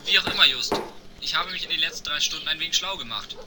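A man playing a matter-of-fact, deadpan young researcher detective in a parody audio drama. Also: fairly high pitch, fast, lightly acted. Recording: noisy amateur recording with clicks.

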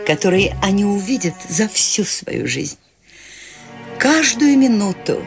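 An actress playing an eccentric, life-loving old woman in a radio play, talking in a warm, coaxing way. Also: medium pitch. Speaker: Maude, lead role in radio play.

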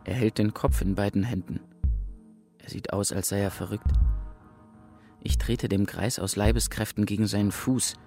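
An actor playing an exhausted camp prisoner in a radio drama, speaking a terse, stark and urgent first-person monologue. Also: male voice, fairly low pitch, fairly even intonation, normal speed, vocal fry, long pauses, warm tone. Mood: weary, urgent. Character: exhausted male camp prisoner awaiting liberation. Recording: faint music behind the voice.

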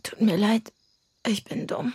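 A woman's voice: medium pitch, unhurried, very noisy, close to an everyday voice. An actress playing a downtrodden mother in an audio drama, wary and questioning in her delivery. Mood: self-reproaching. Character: downtrodden mother living with a violent partner.

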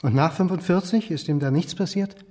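A man's voice: fairly high pitch, brisk, dark tone, lightly acted. An actor playing a middle-aged man who questions an elder relative in a terse, accusing way in a German radio drama. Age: middle-aged, about 40.

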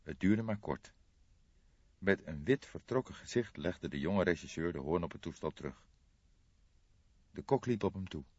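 A man reading a detective novel aloud as scripted narration, in a measured storytelling manner. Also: low-pitched, lively intonation, darkish tone, breathy edge. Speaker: audiobook narrator. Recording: dull lo-fi, boxy roomy sound.

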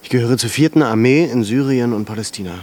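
A man's voice: mid-range voice, fast talker, darkish tone, natural voice. An actor playing a thoughtful, controlled middle-aged Armenian father and reserve officer in a radio drama. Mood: grave, calm. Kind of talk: naturalistic German radio drama acting.

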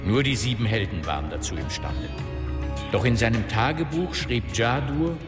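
An actor playing a grave, learned wizard mentor in a German radio drama, speaking in a solemn, measured, explanatory way. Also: male voice, mid-range voice, animated, close to an everyday voice. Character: grave, learned male wizard mentor revealing ancient secrets. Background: music.

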